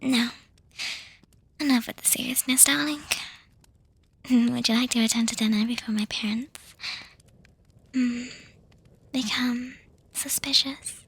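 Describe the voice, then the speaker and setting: A refined, doting young vampire girlfriend, acted by a woman for an ASMR roleplay. Her voice is high and extremely breathy, mostly whispered, with very little melodic movement. She lingers over words with hesitant pauses and soft hums. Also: steady tone, exaggerated voice. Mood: teasing, affectionate. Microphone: close microphone, dry.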